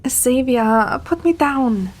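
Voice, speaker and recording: High-voiced, tipsy, stubborn young woman played by a woman. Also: animated, takes it slowly, a little mellow. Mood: flustered. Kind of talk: romantic audio drama acting with first-person narration.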